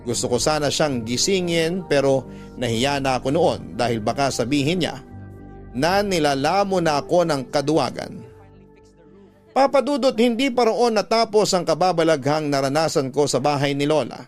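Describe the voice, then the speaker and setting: A man's voice: fairly high pitch, normal speed, soft background music, close to an everyday voice. An actor playing a haunted young man who narrates his frightening experiences in a radio drama. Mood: fearful. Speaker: narrator of a letter.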